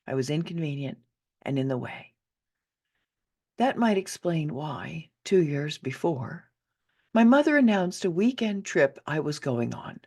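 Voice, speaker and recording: Female author-narrator, fifties, low register, theatrical and emphatic first-person storytelling. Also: a little mellow. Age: 50s. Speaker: author reading her own memoir.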